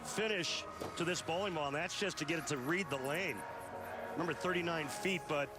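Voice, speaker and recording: A man's voice: a little high, brisk. A man giving color commentary on a televised bowling match, explaining technique in an easygoing, analytical way.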